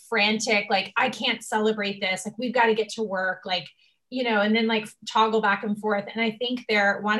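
A woman's voice: fairly high pitch, a bit bright. A young woman hosting a casual conversation, effusive and emotional, with many fillers. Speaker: host.

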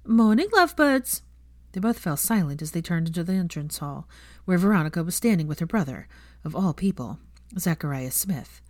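A woman reading scripted fiction as an expressive story narrator. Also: sits low, a little fast, dry recording, studio quality.

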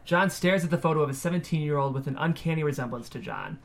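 Higher-pitched man reading screenplay directions. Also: fast. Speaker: stage-direction narrator at a table read. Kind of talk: scripted narration of screenplay directions.

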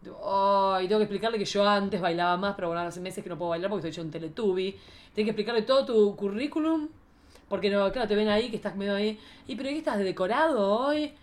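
A woman's voice: mid-range voice, fast talker. A woman with an Argentine accent hosting a casual chat in a playful, joking, theatrical manner. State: pregnant. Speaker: podcast host.

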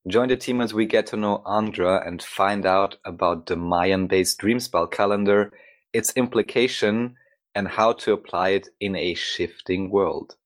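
A man's voice: lowish voice, a little slow. A man co-hosting a podcast, delivering scripted introductions and readings aloud. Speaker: podcast co-host.

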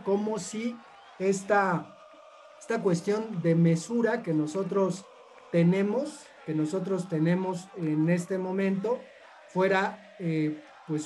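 A Mexican man talking reflectively in a casual panel discussion, in halting, carefully worded phrases. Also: high voice, a little mellow, a little hiss or hum.

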